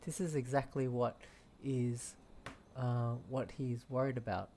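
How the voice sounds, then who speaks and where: A man commenting casually on game story scenes as he plays, in a halting, offhand way. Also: mid-range voice, dark tone. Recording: faint noise, clicks.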